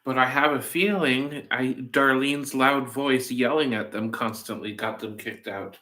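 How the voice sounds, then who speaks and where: A man's voice: medium pitch, fairly even intonation, unhurried, sharp tone. A man hosting a cooking livestream, rambling casually with playful, silly asides. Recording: echoey room.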